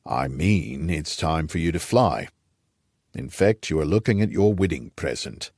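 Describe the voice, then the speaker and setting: Man playing a deep-voiced old Rhodesian patriarch. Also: animated, measured pace, darkish tone, no echo, clean studio sound, exaggerated voice. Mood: warm, decisive. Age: older. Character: aging gruff Rhodesian patriarch, old scout, wry and nostalgic.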